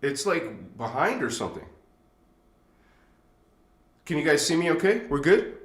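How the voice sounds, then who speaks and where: A man's voice: fairly high pitch, very animated, a little slow, fairly bright tone, reverberant. A man hosting a casual livestream, chatty and rambling, with a stuffed-up nose, talking into a phone on a tripod. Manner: rambling, chatty, chuckles often. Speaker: livestream host.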